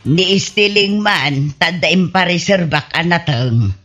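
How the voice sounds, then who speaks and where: Woman acting an unhurried older village auntie. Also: fairly low pitch, fairly bright tone. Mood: advising. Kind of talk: comedy radio drama acting. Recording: muffled audio with music underneath.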